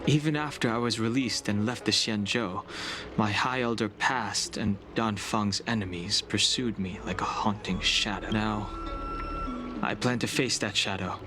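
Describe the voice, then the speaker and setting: Actor as brooding young hero, low voice, solemn, reserved, formal game dialogue, music behind. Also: male voice, measured pace, lightly acted. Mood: solemn, resolute. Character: stoic, brooding young male dragon-descended exile. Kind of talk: scripted video game voice acting.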